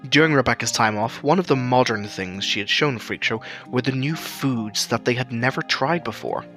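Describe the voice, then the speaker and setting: A male narrator reads a scripted story in a bright, forceful voice. Soft music sits behind his reading. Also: medium pitch, moderate pace. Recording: music under the voice. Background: background music.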